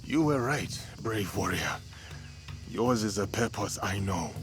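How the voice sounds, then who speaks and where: Game role: steadfast, respectful samurai, deep-set voice, solemn formal delivery. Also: male voice, expressive, over music. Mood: admiring. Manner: measured, formal, solemn phrasing. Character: honourable, steadfast male samurai swordsman, calm and respectful. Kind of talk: dramatic video game acting.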